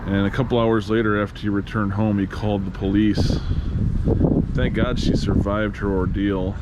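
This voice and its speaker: A man with a fairly low voice narrates an on-location vlog. He is informal and matter-of-fact, like a guide. Loud wind and vehicle noise runs behind him. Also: level tone, normal speed. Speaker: host, true crime tour guide. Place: on location with a camera.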